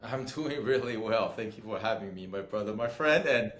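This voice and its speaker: Middle-aged man, interview guest, low deep voice, upbeat chatty storyteller, echoey room. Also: animated, normal speed, fairly bright tone. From Los Angeles. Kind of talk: casual interview chat.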